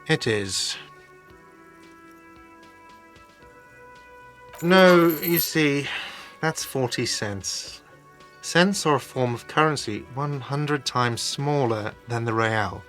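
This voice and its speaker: An actor playing an exasperated, sarcastic hostel manager in a video game, with an irritated, condescending way of talking. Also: male voice, mid-range voice, slow, a bit bright, faint music behind. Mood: condescending, weary.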